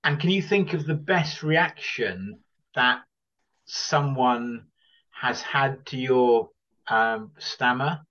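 A man hosting a casual interview podcast, asking questions in a halting way with repeated words and phrases. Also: medium pitch, fairly bright tone, lo-fi sound.

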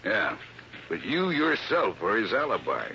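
A dull old radio recording of a hard-boiled American lieutenant. He is terse and tough, with a hard-edged, lively low voice. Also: unhurried, slight background noise, full character voice. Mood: matter-of-fact. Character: gruff, tough male police lieutenant. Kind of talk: mid-century American radio drama acting.

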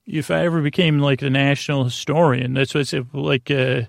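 A middle-aged American man with a creaky, gravelly voice, hosting a sleep podcast in a meandering, halting, whimsical monologue. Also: medium pitch, steady tone, dry recording, clean studio sound. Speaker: podcast host. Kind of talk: rambling bedtime monologue to help listeners sleep.

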